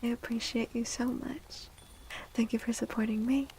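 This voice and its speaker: A woman livestreamer speaking in a soft, breathy, often whispery ASMR style, gentle and soothing, close to the microphone. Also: a little high, steady tone, moderate pace, a little hiss or hum, low-quality recording. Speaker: livestreamer reading tarot for viewers.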